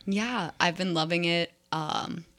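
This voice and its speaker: This is a woman in her twenties from New York State with a moderately low voice. She talks as a guest in a casual conversation. Her delivery is easygoing and informal, readily agreeing with her friend. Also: slow, fairly bright tone. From New York State, USA. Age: 20s. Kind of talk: casual chat.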